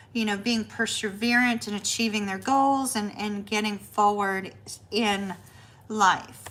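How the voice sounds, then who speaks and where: A middle-aged woman, chatty and reassuring as she reads tarot on a live stream, her voice going a bit hoarse. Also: fairly high pitch. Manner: chatty, warm reassuring delivery, says y'all. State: losing her voice. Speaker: tarot reader.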